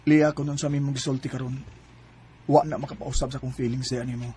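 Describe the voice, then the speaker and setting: A Filipino man with a Cebuano accent acting a pleading young lover in a radio drama, with a husky voice. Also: mid-range voice, little pitch movement, quick pace, mellow, slight background noise, natural voice. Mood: earnest, pleading.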